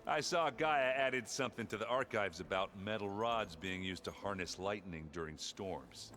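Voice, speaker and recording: A man acts out a big warrior from a video game with a deep, low voice. He is blustery, folksy and awkwardly earnest. Music plays loudly behind him. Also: moderate pace, close to an everyday voice. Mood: easygoing, earnest. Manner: blustery, folksy, plain-spoken. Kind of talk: video game character dialogue.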